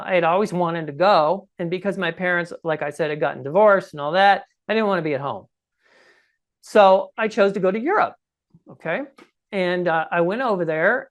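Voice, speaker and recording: A man in his sixties with a scratchy voice, telling his own story conversationally and emphatically as an interview guest. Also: sits high. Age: 60s. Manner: emphatic, often checks in with "okay?" and "right?". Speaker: podcast guest recounting personal experience. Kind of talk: conversational first-person storytelling.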